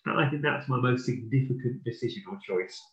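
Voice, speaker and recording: A man's voice: mid-range voice, brisk, a bit bright, lo-fi sound. A man with a stuffy, slightly blocked nose chats easily as a podcast co-host. He is self-deprecating and playful. The room around him sounds roomy and echoing. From Norfolk, England. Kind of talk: casual live podcast chat.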